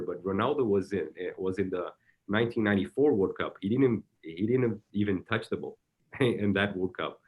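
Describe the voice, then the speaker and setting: A man with a Brazilian Portuguese accent, a soccer technical director, answering interview questions in a halting way and often repeating words while he searches for the next one. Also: lowish voice.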